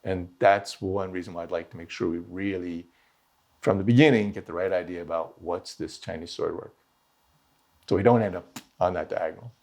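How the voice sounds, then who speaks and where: A man teaching swordsmanship, answering questions in a rambling, self-interrupting and lively way. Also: deep voice, moderate pace. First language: English. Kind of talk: conversational Q&A answers.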